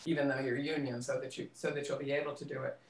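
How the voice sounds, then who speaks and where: A middle-aged woman in a conversational interview, recalling things haltingly and repeating words as she thinks. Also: very deep voice, flat delivery. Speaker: guest, theater director and actress. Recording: roomy, echoing voice pickup.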